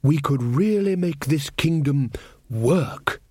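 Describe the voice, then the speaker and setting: A man's voice: a little high, lively intonation, takes it slowly, darkish tone, husky, no room sound, clean studio sound, exaggerated voice. A man doing a fussy stammering young king. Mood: earnest, fussy. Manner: pedantic, self-satisfied, stammering.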